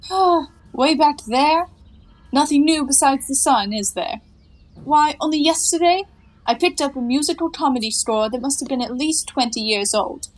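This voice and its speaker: An actress playing a flippant, quick-witted young woman in a 1920s comedy audio play, breezy and playfully sarcastic. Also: high voice, natural voice, low-quality recording. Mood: teasing.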